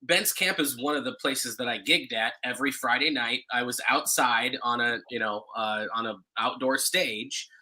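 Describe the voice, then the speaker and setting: Very bright-voiced man chatting casually. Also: medium pitch, normal speed, hardly any pauses, low-quality recording. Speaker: guest, singer and performer. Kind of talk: casual chat, storytelling. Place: home room, indoors.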